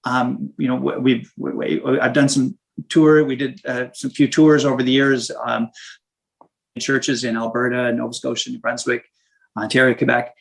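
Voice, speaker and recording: A man who is a minister and saxophonist, talking in an interview in a halting way, restarting often as he searches for words. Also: mid-range voice, flat delivery, gravelly, low-quality recording. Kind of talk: casual interview conversation.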